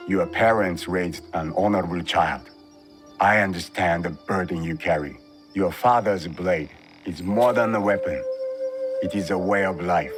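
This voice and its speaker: An actor playing a grave older Japanese warrior mentor in a video game cutscene, speaking in a measured, cryptic, solemn way. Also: male voice, sits low. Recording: music behind the dialogue.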